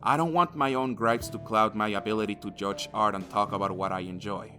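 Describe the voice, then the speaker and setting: A man's voice: fairly low pitch, steady tone, a little fast, sharp tone, faint music behind. A man narrating an opinionated video game review in a crude, sardonic way, swearing freely.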